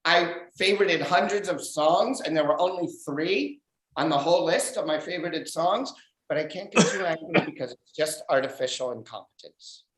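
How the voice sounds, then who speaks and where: A man doing a stand-up comedy set over a video call, delivering dry deadpan one-liners. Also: a little high, normal speed, echoey room, rough recording. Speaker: stand-up comic. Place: abroad in a home room.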